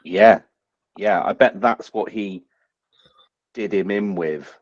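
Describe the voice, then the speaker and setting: A man's voice: fairly low pitch, takes it slowly. A man playing a tabletop roleplay game, bantering in and out of character, on a slightly dull recording.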